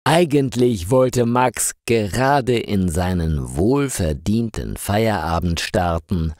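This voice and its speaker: A man narrating a scripted story for language learners, reading slowly and carefully articulated with lively intonation. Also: fairly low pitch, dry recording, studio quality.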